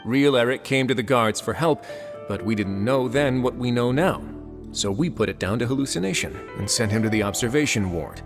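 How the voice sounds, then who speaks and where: Game casting: a man as a calm warden laying out a theory, mid-range voice. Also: medium pitch, a little fast, music in the background, natural voice. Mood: explaining. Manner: composed, deductive. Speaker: prison warden. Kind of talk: video game dialogue.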